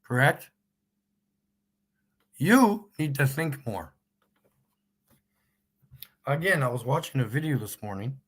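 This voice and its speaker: Slow-talking Canadian man ranting sarcastically. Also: mid-range voice, very animated, slightly rough. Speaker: live streamer. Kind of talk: live stream rant and prank call.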